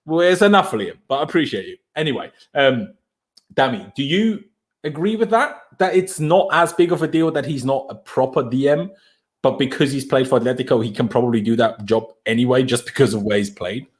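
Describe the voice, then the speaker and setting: A man's voice: mid-range voice, animated, brisk. A man hosting a casual football podcast over a video call, arguing his points with rising emphasis and frequent laughs. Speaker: podcast host.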